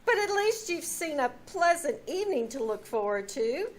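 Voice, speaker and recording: A woman's voice: high-pitched, sing-song, normal speed. A woman playing a sympathetic, clucking landlady in an old-time radio comedy, performed live on stage. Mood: sympathetic, curious. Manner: fussy, sympathetic exclaiming. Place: small-town theater stage, performed live. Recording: live stage recording with audience noise.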